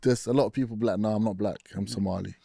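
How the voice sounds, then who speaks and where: Quick dark-voiced man asking questions. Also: on the low side, gravelly, no echo, clean studio sound. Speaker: street interviewer.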